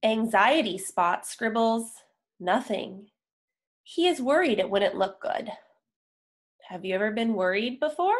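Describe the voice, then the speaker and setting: A young adult woman reading a story aloud for young children, enunciating deliberately with pauses between words. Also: on the high side, expressive. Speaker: children's picture book reader. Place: indoor room, on a video call.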